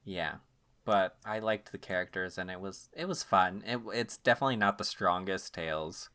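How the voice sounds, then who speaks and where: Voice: deep voice, normal speed, bright, forceful tone, clear, resonant tone. A podcast co-host chatting informally about games and anime, sounding amused at their own remarks.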